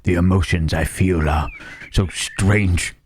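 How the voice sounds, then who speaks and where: A man voicing a gentle, self-loathing Frankenstein's monster in an ASMR roleplay, speaking in halting, formal phrases with a husky, rough voice. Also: deep voice, fairly even intonation, slight background noise. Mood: pained, confused. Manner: halting, formal phrasing with searching tag questions. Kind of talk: slow ASMR roleplay monologue.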